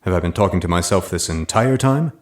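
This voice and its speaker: An actor playing a chipper, hammy undercover agent with a flamboyant, precise manner in a comic audio drama. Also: male voice, low voice, lively intonation, fairly quick. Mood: breezy.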